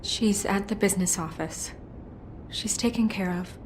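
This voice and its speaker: An actress playing a caring, composed woman who helps an investigation in a video game, gentle and diplomatic in manner. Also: medium pitch, unhurried, a little hiss or hum, lightly acted, rough recording. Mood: reassuring. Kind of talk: dramatic video game acting.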